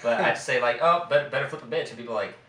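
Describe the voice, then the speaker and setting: A man's voice: medium pitch, rapid-fire, bright, forceful tone. A young man co-hosting a podcast in casual chat, joking and swearing freely. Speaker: podcast co-host. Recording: roomy, distant mic, faint room noise.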